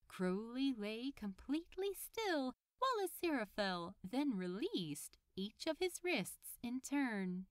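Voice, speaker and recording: A woman's voice: medium pitch, no echo, clean studio sound. A woman reading scripted fiction aloud as an audiobook narrator.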